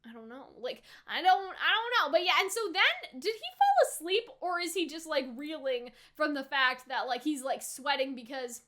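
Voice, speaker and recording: A woman's voice: sits high, sing-song, moderate pace, few pauses, a bit bright. A young adult woman giving casual reaction commentary in an informal, slangy way, often tossing in rhetorical questions. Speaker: reaction video host.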